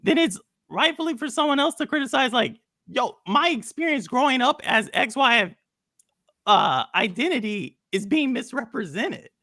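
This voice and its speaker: Male podcast host, hesitant restarts, bright tone, dry recording. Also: very high voice, lively intonation, moderate pace, no echo. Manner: hesitant, restarts phrases while arguing a point. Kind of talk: casual podcast chat.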